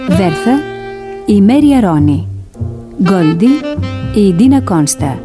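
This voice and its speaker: A woman's voice: medium pitch, sing-song. A woman radio announcer reading scripted credits in a formal announcement. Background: music.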